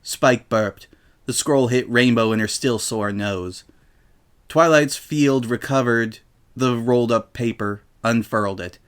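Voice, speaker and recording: A man's voice: mid-range voice, a little slow, clear, resonant tone. Man narrating a comic story. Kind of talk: dramatic story reading, narration.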